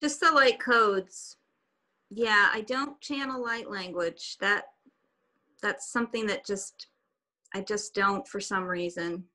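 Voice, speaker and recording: A woman's voice: mid-range voice, slow pace, pushed and bright. A woman whose first language is German and Swiss German, chatting casually as a guest on a video call, rambling a little with restarts. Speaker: guest artist.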